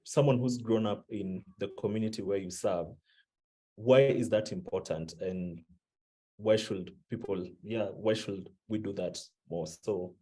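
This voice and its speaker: A man with a low, mellow voice moderates a panel. He asks his question haltingly and rephrases it midway. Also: rough recording. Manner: hesitant, rephrasing mid-question.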